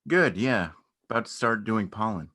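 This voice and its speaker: Low-voiced, awkward beekeeper played by a man. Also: animated, sharp tone. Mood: awkward, friendly. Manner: hesitant, understated, halting. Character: awkward, unassuming male beekeeper, gently earnest and halting. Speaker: amateur or local theatre actor. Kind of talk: naturalistic dramatic reading of a stage dialogue.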